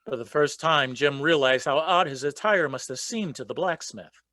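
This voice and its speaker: A man's voice: a little high, moderate pace, pushed and bright, no room sound, clean studio sound. A man narrating a folk legend as the storyteller in a scripted radio play. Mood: storytelling.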